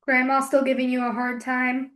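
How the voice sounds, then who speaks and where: A woman's voice: high-pitched, monotone, moderate pace, a bit bright. A woman playing a cheeky college-age eldest daughter in an audio play.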